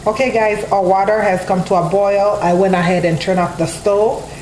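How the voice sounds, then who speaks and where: A woman's voice: medium pitch, steady tone, normal speed, darkish tone, reverberant, a little hiss or hum, poor audio. Chatty woman hosting a kitchen tutorial. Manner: friendly, chatty, addresses viewers as guys. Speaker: cooking channel host. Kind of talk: casual cooking tutorial voice-over. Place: home kitchen.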